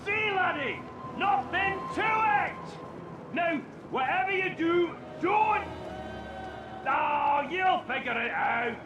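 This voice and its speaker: A man's voice: very high-pitched, lively intonation, slow, shouting, muffled recording, full character voice. A man voicing a wisecracking male dwarf tinkerer in a video game cutscene, talking in a teasing, offhand way. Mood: shouting. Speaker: inventor who helps the hero. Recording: cutscene with music behind.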